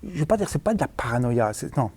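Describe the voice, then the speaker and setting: A man's voice: medium pitch, very animated, fast, a little mellow, husky. A man chatting casually and hesitantly as he tells stories for a vlog, in colloquial speech with trailing tags. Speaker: vlogger, former tunnel worker. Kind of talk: casual rambling storytelling to camera. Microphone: handheld at arm's length.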